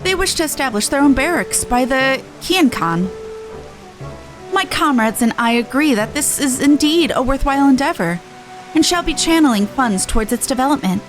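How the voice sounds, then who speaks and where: A woman's voice: high voice, animated, moderate pace, soft background music, low-quality recording. A woman playing a dignified, gracious leader in a video game, speaking formally and with composure. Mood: solemn, dutiful.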